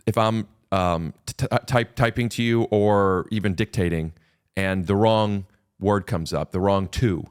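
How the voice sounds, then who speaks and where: A man's voice: on the low side, steady tone, a little slow, smooth. A man in casual podcast conversation as a guest, rambling with restarts and repeated words.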